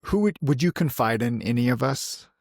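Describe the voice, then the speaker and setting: A man's voice: medium pitch, animated, normal speed, vocal fry, darkish tone, no echo, studio quality. A man talking casually as a player in a tabletop game, describing what his character does.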